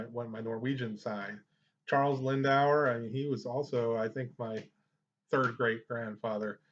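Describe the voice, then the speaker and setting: Guy walking thru a software tutorial, chatty and explanatory, kinda muffled lo-fi mic. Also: mid-range voice. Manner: conversational, explanatory. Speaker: genealogy tutorial host. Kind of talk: informal screen-share tutorial. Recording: dull lo-fi microphone sound.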